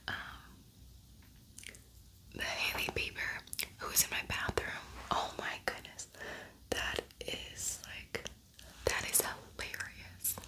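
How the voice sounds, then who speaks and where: A woman speaking in a breathy, unvoiced whisper, chatting casually and thinking aloud as she hosts an ASMR makeup tutorial.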